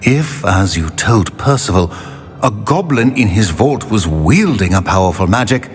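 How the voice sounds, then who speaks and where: An actor playing a grave old wizard in a video game, speaking in a formal, dramatic manner. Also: male voice, fairly low pitch, animated, measured pace, soft background music. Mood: urgent alarm. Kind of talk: video game character acting.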